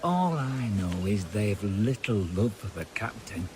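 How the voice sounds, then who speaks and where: A guarded sailor in a video game, fairly low in pitch. He is terse and wary, speaking over clear background noise of ship ambience. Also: animated, measured pace, a little mellow. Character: guarded, wary sailor informant, grimly loyal.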